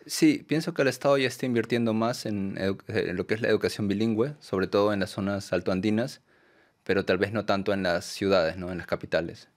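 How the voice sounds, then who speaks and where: A Peruvian man, a documentary filmmaker, answering interview questions in a soft, hesitant way with many fillers and tag questions. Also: on the low side, steady tone, fairly quick. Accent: Peruvian Spanish. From Peru.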